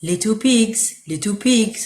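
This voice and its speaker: A woman's voice: mid-range voice, lively intonation, slow pace, darkish tone, reverberant, voice pushed up. A woman voicing a polite male wolf with a bad cold, pleading in a sniffly, nasal voice.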